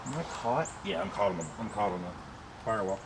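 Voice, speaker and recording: A man's voice: lowish voice, expressive, fast. A man from Oklahoma bantering and cursing casually while working on a car engine in a garage. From Oklahoma, USA. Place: home garage. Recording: noisy garage.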